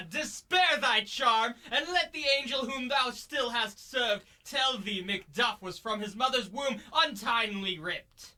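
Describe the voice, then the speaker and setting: A man's voice: extremely high pitch, takes it slowly, hardly any pauses, pushed and bright, heavily acted. A young man playing a vengeful, righteous warrior nobleman in a Shakespearean radio play, declaiming in verse. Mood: scornful, triumphant. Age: young adult. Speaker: student actor.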